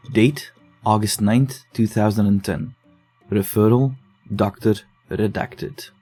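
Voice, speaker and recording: An actor playing a dogged, low-key man investigating case files in a horror audio drama, speaking in a subdued, measured way. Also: warm tone, close to an everyday voice. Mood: formal, methodical. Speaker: investigator digging through case notes.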